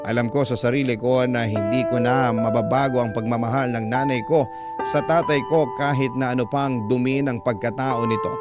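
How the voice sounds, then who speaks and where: A man's voice: mid-range voice, fairly even intonation, moderate pace. A man with a Filipino accent reading a letter aloud as dramatic scripted radio storytelling. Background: background music.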